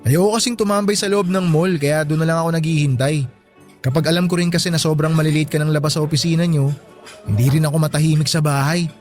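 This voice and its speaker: An actor playing a teasing, devoted young best friend in a radio drama, with a playful, bantering delivery. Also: male voice, on the high side, fairly even intonation, fast, faint music behind, close to an everyday voice. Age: young adult. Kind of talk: Tagalog radio drama acting.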